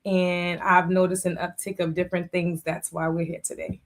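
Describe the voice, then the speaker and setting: A woman's voice: on the low side, level tone, moderate pace. A middle-aged woman from Boston speaking as a guest in a candid interview, plainspoken and restating her points. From Boston, USA. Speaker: talk show guest. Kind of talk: candid personal interview talk.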